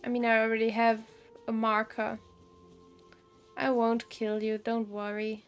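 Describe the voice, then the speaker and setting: A woman's voice: a little high, level tone, a little slow. A woman doing casual live gameplay commentary, musing aloud and giving her opinions. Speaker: game streamer. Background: game music.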